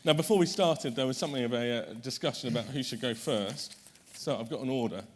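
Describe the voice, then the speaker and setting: A man's voice: mid-range voice, brisk, slight background noise. A man chairing a conference panel, introducing the speakers in a chatty way, correcting himself often and making light jokes.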